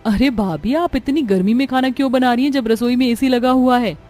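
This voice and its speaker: A woman as a chirpy, high-voiced young sister-in-law. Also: a little fast, darkish tone, faint music behind, natural voice. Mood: surprised.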